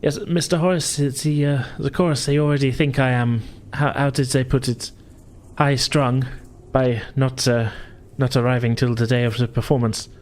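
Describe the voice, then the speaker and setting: A man's voice: mid-range voice, fairly even intonation, normal speed, darkish tone, voice pushed up, close to an everyday voice. A man voicing a polite, shaken female opera star with a hesitant, refined manner. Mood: composed, genteel.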